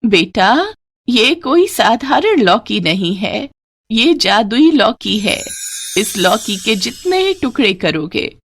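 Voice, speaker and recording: A woman's voice: on the high side, sing-song, takes it slowly, fairly bright tone, heavily acted. A woman voicing a kindly, weary old village grandmother in a Hindi story cartoon. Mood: grateful, kindly.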